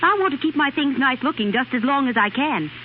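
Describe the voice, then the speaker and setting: A woman's voice: high voice, lively intonation, brisk. A woman acting a neat, fussy, good-natured college girl in a 1930s radio commercial. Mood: earnest, instructive. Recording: tinny, hissy 1930s radio recording.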